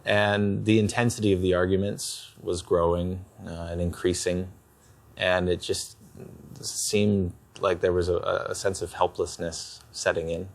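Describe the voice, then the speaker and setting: Low-voiced man, halting and candid. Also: little pitch movement. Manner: hesitant, halting. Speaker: husband in couples counselling. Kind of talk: candid personal account.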